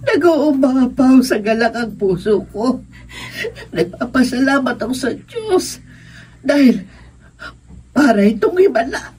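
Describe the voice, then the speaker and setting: A woman's voice: sits high, lively intonation, unhurried, pauses often, darkish tone, far from the mic. A woman acting a tender, emotional middle-aged mother forgiving her children in a Filipino radio drama. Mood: overjoyed, grateful.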